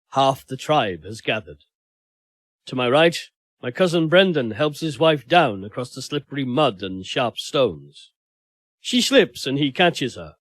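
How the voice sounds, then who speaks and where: A man reading a literary short story aloud as an audiobook narrator, in a measured, expressive storytelling manner. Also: on the high side, no echo.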